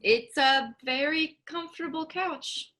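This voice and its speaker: A woman reading a patient, gently teasing grown daughter in a staged play reading. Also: high-pitched, takes it slowly, slight background noise, full character voice. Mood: gentle. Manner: soft, easygoing, gently teasing.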